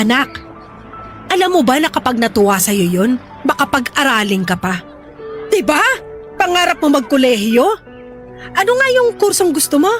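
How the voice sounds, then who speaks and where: A woman's voice: high-pitched, a bit bright. An actress playing a stubborn, debt-ridden mother who scolds and coaxes her daughter in a radio-style drama. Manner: scolding, then wheedling. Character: pushy indebted mother, gambler, stubborn and dismissive.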